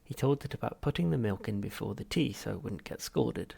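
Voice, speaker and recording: Very gravelly male audiobook narrator. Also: lowish voice, lively intonation, brisk, a little mellow. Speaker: audiobook reader. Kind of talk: scripted narration of a comic novel.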